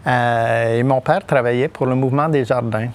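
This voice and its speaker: A middle-aged man from Quebec with a Québécois accent, talking as a podcast guest, halting often with fillers and restarts. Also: medium pitch, steady tone, clear, resonant tone. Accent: Québécois French. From Quebec, Témiscamingue. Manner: hesitant, many fillers and restarts. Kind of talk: podcast interview.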